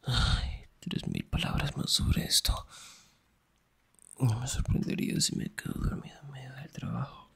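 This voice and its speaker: A young man performing an intimate ASMR roleplay as a teasing, affectionate best friend, murmuring in a breathy, husky whisper. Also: mid-range voice, little pitch movement, unhurried.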